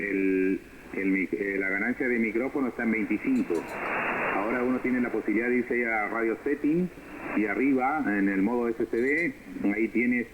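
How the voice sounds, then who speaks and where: A man talking casually as an amateur operator over a shortwave radio. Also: lowish voice. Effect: shortwave radio transmission. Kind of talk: casual ham radio exchange. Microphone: homemade microphone. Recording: noisy narrow-band shortwave radio reception.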